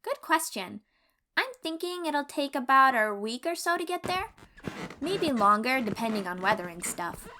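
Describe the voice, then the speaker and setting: A woman playing a cheerful, boastful young sea-dwelling warrior in an audio roleplay, eager and expressive as she talks to the listener. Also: high-pitched, normal speed, slight background noise, full character voice. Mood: friendly, reassuring. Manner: eager, bragging, reassuring, expressive storyteller.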